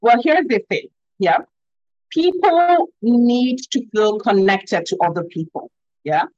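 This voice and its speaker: A woman's voice: on the high side, animated, slow pace, a little mellow, no echo. A woman from Nigeria with a Nigerian accent, a communication expert explaining her ideas earnestly on a video call, often tagging "yeah?" onto her points. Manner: earnest, emphatic, frequent "yeah?" tags. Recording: dull, slightly lo-fi dry sound.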